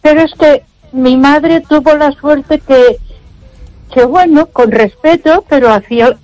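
A woman's voice: high-pitched, slow pace, a little mellow, no echo, low-quality recording. An older woman from Barcelona calling a radio show, talking in an emphatic, repetitive way with frequent exclamations. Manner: emphatic, repeats herself, frequent ay interjections. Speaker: radio caller. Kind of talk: casual phone-in chat.

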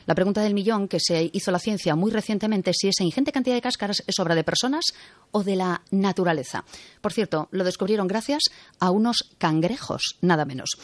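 A woman's voice: medium pitch, dry recording. A woman with an accent from Spain, chatting as a radio host in a conversational interview style, close on a studio microphone. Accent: Spanish from Spain. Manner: chatty, interjects short acknowledgements.

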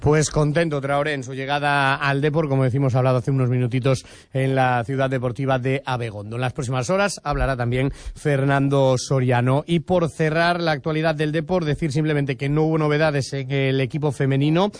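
A man presents sports news on the radio in a steady, informative way. His voice is mid-pitched and the studio sound is dry and close. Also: dry recording. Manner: brisk, informative, many fillers.

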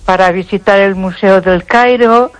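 An older woman with a Spanish accent from Spain talks casually as a caller on a radio show. Her pitch is middling for a woman, and she often hesitates to search for a word. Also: unhurried, highs cut off, soft background music. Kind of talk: casual chat.

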